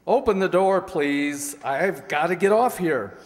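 A man's voice: on the high side, animated, measured pace. A man playing a polite but impatient bus passenger in an old-time radio comedy. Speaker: amateur radio-play actor. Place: large indoor stage hall, stand mic. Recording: reverberant hall, stage microphone.

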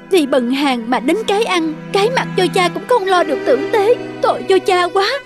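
High-voiced, gentle young peasant wife. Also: female voice, lively intonation, a little slow, music in the background. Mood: sorrowful. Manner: respectful, tender, earnest. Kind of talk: animated folk-tale dialogue.

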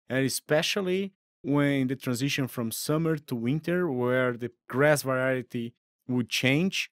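Man, Brazilian accent, 30s, veterinary lecturer, steady explaining, dry close voice-over. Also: medium pitch, dry recording. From southern Brazil. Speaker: veterinary professor. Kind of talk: recorded lecture narration.

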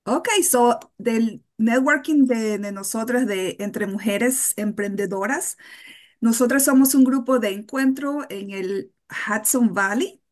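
A woman speaking as a guest over a video call, unhurried, describing a networking group and slipping English fillers into her Spanish. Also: fairly high pitch. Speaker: guest, women's networking organizer. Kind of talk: describing an event in an interview.

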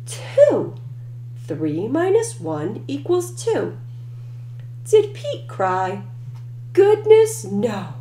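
A woman reading a picture book aloud to young children as a storyteller, with deliberate, exaggerated emphasis. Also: high voice, huge pitch swings, dark tone. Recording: roomy echo, faint background noise.

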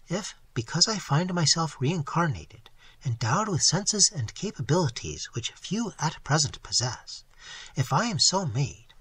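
A man voicing an earnest, tearful young woman with a soft, sighing, pleading delivery in a storybook reading. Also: on the high side, breathy edge, dry recording, full character voice. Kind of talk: dramatic audiobook character reading.

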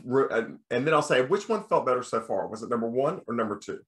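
Man with a bass voice teaching choir. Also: quick pace. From United States. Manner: relaxed explaining, breaks into sung demonstrations. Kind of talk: recorded lecture over slides.

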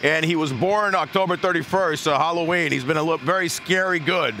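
A man's voice: fairly high pitch, fairly quick, background noise. A man giving informal, playful baseball analysis in a conversational broadcast style. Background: music.

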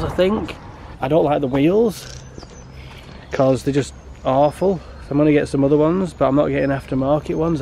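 A man's voice: mid-range voice, vocal fry, darkish tone, slight background noise. A British man in his thirties with a cold, sounding nasal, talking casually and rambling in a car vlog recorded in a parked car. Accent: British English. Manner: rambling, with many restarts. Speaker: car vlogger.

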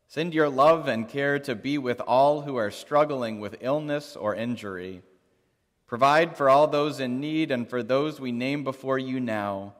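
A man leading a congregation through the creed and prayers with measured, liturgical recitation. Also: medium pitch. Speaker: worship leader. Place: large church sanctuary. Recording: long church reverb.

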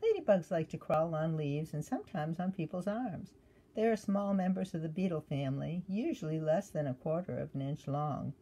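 A woman's voice: deep voice, dark tone, slightly rough. An older woman reads aloud to young children in a teacherly way, with chatty asides and questions put directly to the listener. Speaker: children's devotional reader.

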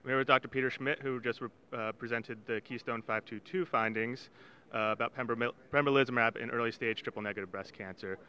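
Bright-voiced male interviewer with fillers. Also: mid-range voice, fairly even intonation, quick pace, clean tone, dull audio. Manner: friendly, with filler-heavy questions. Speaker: interviewer for a patient organization. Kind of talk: conference interview questions. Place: conference hall studio set, indoors. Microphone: handheld mic. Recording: faint background noise.

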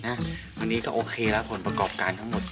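A young man in a fishing vlog, talking to the camera from a kayak on a canal and exclaiming loudly whenever a fish bites. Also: lowish voice, fast, recorded from a distance. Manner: exclaims loudly at each catch, calmer when explaining. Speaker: fishing vlogger. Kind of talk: excited casual commentary while fishing. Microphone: action camera on the boat. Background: music.

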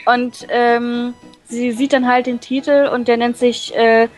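A woman who is a native German speaker, reading visual novel text aloud and translating and commenting casually, with halting pauses to search for words. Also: on the high side, flat delivery. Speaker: let's play co-host. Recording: music playing behind the voice.

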